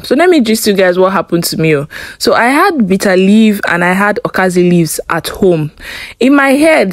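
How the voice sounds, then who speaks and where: A woman's voice: mid-range voice, dry recording. A young Nigerian woman with a Nigerian English accent, talking casually through a home cooking recipe with chatty asides. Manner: chatty, instructive, with loose asides. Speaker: cooking vlogger.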